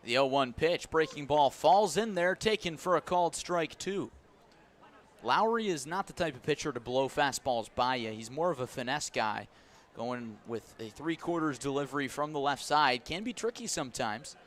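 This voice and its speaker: A man's voice: a little high, lively intonation, moderate pace, fairly bright tone. A man giving live radio play-by-play of baseball, in clipped descriptive phrases that rise with the action. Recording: noisy outdoor ballpark ambience.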